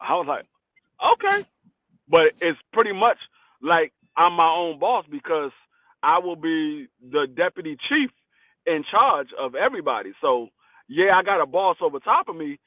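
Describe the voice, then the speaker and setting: A man in his forties chatting casually on a podcast, telling stories in blunt slang with animated energy. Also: fairly high pitch, moderate pace, no echo. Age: 40s. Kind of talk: casual chat. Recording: tinny phone-line sound, dry.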